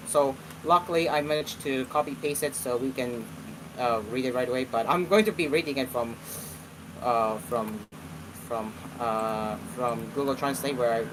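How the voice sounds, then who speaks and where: Halting young man hosting over background noise. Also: a little high. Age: 20s. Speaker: host of an online talk show. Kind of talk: casual live commentary and reading aloud.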